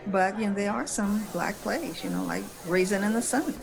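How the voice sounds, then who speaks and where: A woman's voice: mid-range voice, moderate pace, creaky, crowd noise, poor audio. A woman speaking as a panelist in a casual discussion, sometimes reading aloud. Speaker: panelist on a holiday discussion show.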